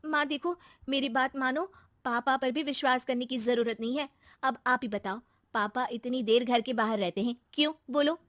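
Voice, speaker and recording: A woman's voice: sits high, moderate pace, a bit bright, no room sound, studio quality. A woman voicing a greedy, scheming young daughter in a moral story, with an insistent, coaxing manner. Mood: insinuating. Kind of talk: acted moral-story dialogue.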